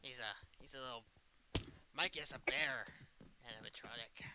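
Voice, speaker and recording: A man's voice: medium pitch, normal speed, bright, forceful tone, a little hiss or hum. A man playing a smug, needling evil puppet animatronic in improvised game roleplay, with a theatrical, mock-innocent manner. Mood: sly, haughty. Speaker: player in a Minecraft roleplay. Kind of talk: improvised in-character game banter.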